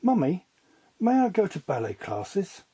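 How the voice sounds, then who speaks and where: A man's voice: high voice, huge pitch swings, unhurried, warm tone, breathy edge, voice pushed up, full character voice. A man voicing an eager, polite young girl in a children's storybook, speaking earnestly and pleadingly.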